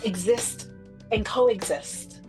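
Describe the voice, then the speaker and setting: A woman from Trinidad, a podcast guest, telling her story thoughtfully over a remote call and often pausing with "you know". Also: mid-range voice, over music. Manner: thoughtful, layered answers with frequent "you know". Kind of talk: reflective conversational storytelling.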